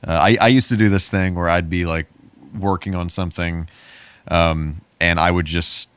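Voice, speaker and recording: A man's voice: deep voice, steady tone, moderate pace, a bit bright, clear, resonant tone, no room sound. A man co-hosting a podcast in casual, informal conversation. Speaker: podcast co-host. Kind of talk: casual chat.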